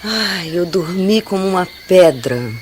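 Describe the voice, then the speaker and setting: A woman's voice: on the low side, slight background noise, natural voice. A woman playing a blunt Brazilian woman. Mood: teasing. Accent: Brazilian Portuguese. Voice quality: lively, drawn-out delivery with Portuguese words mixed in. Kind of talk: radio drama acting. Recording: radio play with night insect sounds.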